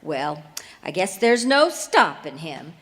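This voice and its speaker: A woman's voice: low voice, sing-song, slow pace, a bit bright, full character voice. An actress playing a fond, hospitable frontier mother with homey, folksy phrasing in an old-time western radio drama. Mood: fond resignation. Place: performing arts center stage. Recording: echoey hall, live stage performance.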